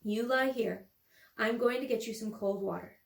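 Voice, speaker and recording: A woman's voice: fairly high pitch, moderate pace, creaky. Woman as a patient mother, roomy sound. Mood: soothing. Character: patient, practical mother of a young girl.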